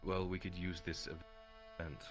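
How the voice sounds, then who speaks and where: A man speaks roleplay dialogue in an extremely deep voice with very little pitch movement. Guitar music plays behind him. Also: little pitch movement, measured pace. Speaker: roleplay participant.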